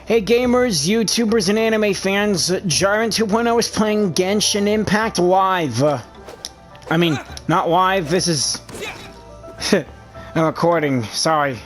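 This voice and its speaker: A man giving casual, halting gameplay commentary as a gaming YouTuber. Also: high voice. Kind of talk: casual gameplay commentary, reading along with dialogue. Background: game music.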